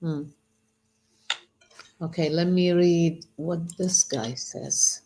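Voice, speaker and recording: Co-host: woman with a somewhat low voice, slow reader, relaxed banter. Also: a little hiss or hum. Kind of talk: casual chat, reading translations aloud.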